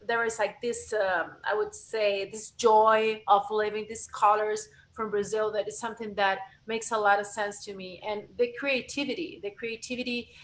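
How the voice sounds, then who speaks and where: A woman from Argentina, speaking as a guest voice coach in a lively interview, restarting phrases as she talks. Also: medium pitch, normal speed, hardly any pauses. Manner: animated, expressive, frequent self-corrections. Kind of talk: conversational interview.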